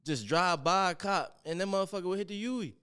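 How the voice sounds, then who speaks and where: A man's voice: high voice, a little fast, sharp tone, clear, resonant tone. A man hosting a casual interview, asking loose, rambling questions in slang on a studio mic. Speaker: podcast host.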